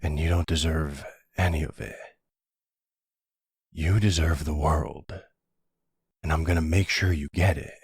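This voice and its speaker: Guy doing a devoted older mafia boyfriend, super deep and raspy, tender, really dry close sound. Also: darkish tone, dry recording, exaggerated voice. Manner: tender, reassuring. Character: devoted older mafia man, gentle and protective. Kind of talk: boyfriend roleplay ASMR.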